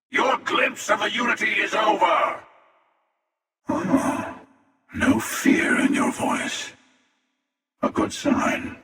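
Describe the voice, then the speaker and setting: A man voicing a cynical, world-weary hunter in a video game, gravelly, sardonic and theatrical, with amused menace. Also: sits high, expressive, extremely slow, long pauses, pushed and bright, heavily acted. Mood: menacing. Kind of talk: scripted video game character dialogue. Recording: cavernous echoing reverb, distant.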